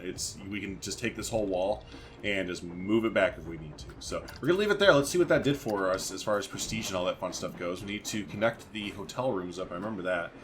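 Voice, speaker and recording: A man's voice: on the low side, fairly bright tone, a little hiss or hum. A man giving casual let's-play commentary as a gaming video host, thinking aloud in informal, slangy language.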